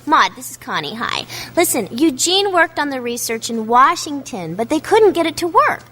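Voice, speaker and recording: A woman's voice: high voice, sing-song, normal speed, pushed and bright, slight background noise, full character voice. An actress playing a chatty, impulsive young woman and loyal friend in a family radio drama, eager and teasing. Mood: excited gossip.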